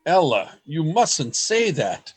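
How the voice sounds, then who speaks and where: Man acting a husky, anguished lovesick man. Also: a little high, big pitch swings, full character voice. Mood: pleading, desperate. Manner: slow, dramatic, pleading delivery. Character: anguished lovesick man, earnest, desperate, hiding among others. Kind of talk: radio play acting.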